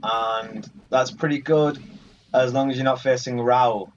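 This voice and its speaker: A man's voice: medium pitch, steady tone, measured pace, slight background noise. Man giving gameplay commentary, muffled and distant. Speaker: gaming video host. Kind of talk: casual playthrough commentary. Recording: muffled, distant mic over game music. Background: game music.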